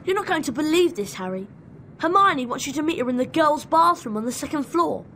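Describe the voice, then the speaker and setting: A woman's voice: high voice, expressive, fairly quick, fairly bright tone, faint music behind. A woman with an English accent playing an eager, chummy young schoolboy wizard in a video game. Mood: urgent. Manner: eager, urgent. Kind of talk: video game cutscene acting.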